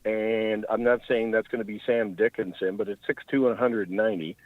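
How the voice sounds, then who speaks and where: A man's voice: on the low side, fairly even intonation, a little fast, no room sound. An older man, a wry radio sports commentator calling in by phone as a guest. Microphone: phone call-in. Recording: muffled narrow phone line.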